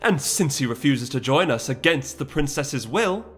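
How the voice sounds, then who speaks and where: A man's voice: mid-range voice, animated, normal speed, a bit bright, slightly rough, faint music behind, voice pushed up. A man voicing a proud, resolute noble mare who speaks formally and imperiously in a dramatic fantasy audiobook. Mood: commanding.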